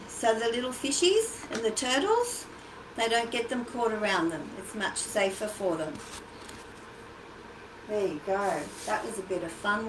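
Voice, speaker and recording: An Australian-accented woman hosting a casual children's craft livestream, chatty and encouraging as she talks directly to the kids. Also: mid-range voice. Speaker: children's storytime and craft host. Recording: echoey, noisy livestream from a distance.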